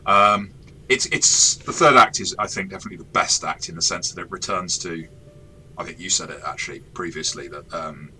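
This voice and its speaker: A man's voice: low voice, fairly quick, bright, forceful tone, slight background noise, poor audio. A man in casual chat, the game master reviewing an adventure he ran, talking in a chatty, self-deprecating way with frequent restarts.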